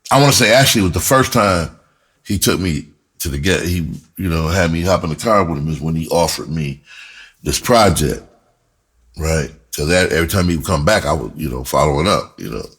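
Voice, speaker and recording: A man telling a personal story in a casual, animated way, with slangy, profane talk and phrases repeated for emphasis. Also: rumbling low, fairly quick, breathy edge. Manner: breaks into laughter often while talking. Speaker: screenwriter and performer telling his own story.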